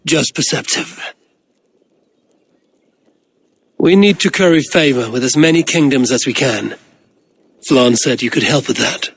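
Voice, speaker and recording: An actor playing a confident, shrewd Norse warrior in a video game cutscene, with a dry, teasing manner. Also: male voice, medium pitch, normal speed, creaky, husky. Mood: wry, teasing. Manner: dry, teasing, self-assured. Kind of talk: video game cinematic acting.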